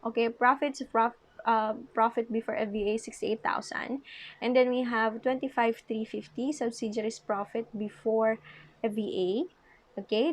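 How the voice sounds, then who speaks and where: A woman's voice: on the high side, a little mellow. A woman with a Filipino accent giving an informal lesson as an accounting teacher, switching between English and Tagalog and often checking understanding. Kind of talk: informal lecture working through problems.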